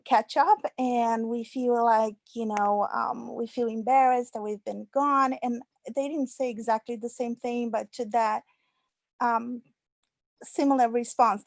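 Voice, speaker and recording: A woman teacher tells a story about her students in a slightly high voice. The sound is dry and close, with no room echo. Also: darkish tone. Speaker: high school history teacher. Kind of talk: online panel talk.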